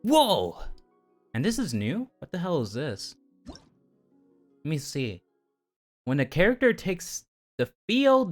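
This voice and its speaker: A man streaming a game, chatting casually to viewers in a rambling, geeky, slangy way. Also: a little high. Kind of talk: casual livestream chat to viewers while playing.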